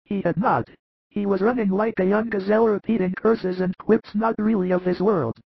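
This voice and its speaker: A man voicing excited, amazed male disciples who tell their story eagerly, with a gravelly edge. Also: sits high, normal speed, dry recording, pitched above the performer's own voice, exaggerated voice. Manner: eager, wondering, breathless telling. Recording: muffled dry recording.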